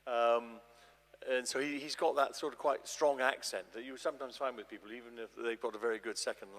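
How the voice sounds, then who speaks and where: A man speaks informally to explain his translation, at a medium pitch. He restarts phrases as he goes, and his voice carries a long church reverb. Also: steady tone, a little fast, fairly bright tone. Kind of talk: informal public talk. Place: large church, addressing an audience.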